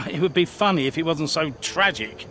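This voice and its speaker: An actor playing a blunt, avuncular older racing team boss in a video game cutscene. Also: male voice, a little high, moderate pace, fairly bright tone, a little hiss or hum, lightly acted. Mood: blunt, exasperated.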